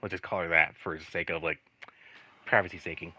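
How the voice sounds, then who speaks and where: A man telling casual stories in a rambling, halting way, swearing freely and leaning on repeated filler phrases. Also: sits low, fairly even intonation, sharp tone, a little hiss or hum. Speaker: host telling personal work stories. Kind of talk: casual storytelling chat.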